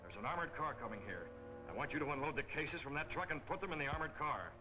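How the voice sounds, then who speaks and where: An American actor playing a resolute newspaper publisher and masked vigilante in a 1940s film serial. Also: male voice, a little high, lively intonation, quick pace, lightly acted, rough recording. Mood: commanding. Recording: old 1940 film soundtrack, music behind.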